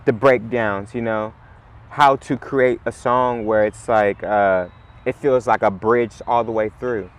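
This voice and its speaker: A man, chatting in a relaxed, rambling way as an interview guest, full of "like" and "you know". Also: fairly low pitch, unhurried. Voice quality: raspy. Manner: laid-back, rambling, heavy on "like" and "you know". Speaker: musician guest. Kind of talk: casual interview chat.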